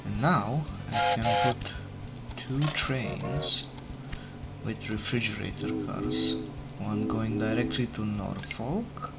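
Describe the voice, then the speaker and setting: A man with a slightly low voice, talking slowly and thinking out loud as he plays. English is not his first language, and he often stops to correct himself. Game music runs clearly underneath his voice. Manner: unhurried, thinking aloud, self-correcting. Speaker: let's play gamer. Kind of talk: casual gameplay commentary. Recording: game music playing behind.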